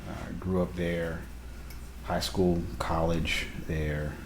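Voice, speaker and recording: A man from Savannah, Georgia, answering interview questions in a reflective, unhurried way. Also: low-pitched, level tone, background noise. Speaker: interviewee, cyclist.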